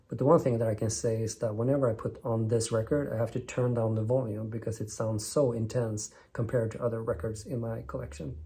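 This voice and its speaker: Man in his thirties, record-show host, warm, mellow tone, scripted and enthusiastic. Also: mid-range voice. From northern Sweden. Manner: enthusiastic, measured storytelling. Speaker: vinyl record channel host. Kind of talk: scripted narration.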